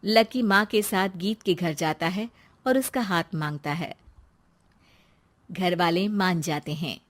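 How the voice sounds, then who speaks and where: A woman narrator reading a scripted animated story. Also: fairly low pitch, slow.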